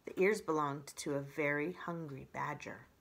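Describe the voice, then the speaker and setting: A woman in her forties reading a children's story aloud in an expressive narrating style. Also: low voice, normal speed, creaky. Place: indoors by a brick fireplace.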